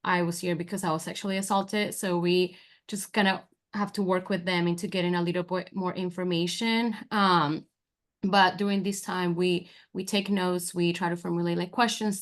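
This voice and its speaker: A young woman with a Colombian accent, a forensic interviewer presenting a webinar and explaining training clips in a relaxed way, with frequent fillers. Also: fairly low pitch. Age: young adult. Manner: relaxed, with many fillers and restarts.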